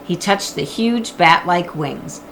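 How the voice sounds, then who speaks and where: A woman narrating a children's book in an expressive storytelling style. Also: lowish voice, slow, vocal fry, slight background noise, rough recording. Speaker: audiobook reader.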